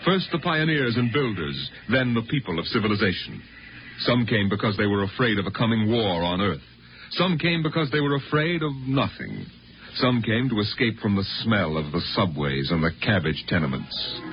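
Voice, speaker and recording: Old time radio narrator guy, dramatic storyteller, lowish voice, muffled broadcast sound. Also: sing-song, normal speed, slight background noise.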